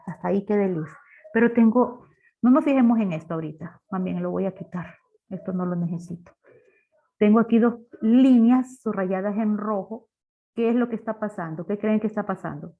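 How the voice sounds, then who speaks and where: Lady teacher walking thru code step by step, asks questions, muffled lo-fi recording. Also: medium pitch. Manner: didactic, asks rhetorical questions. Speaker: programming teacher. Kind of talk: live class explanation, step by step. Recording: dull lo-fi online class audio.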